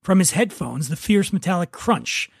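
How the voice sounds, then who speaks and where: A man's voice: sits high, expressive, moderate pace, creaky, heavy background noise. A man hosting a podcast, talking casually and narrating a script. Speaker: podcast host and writer.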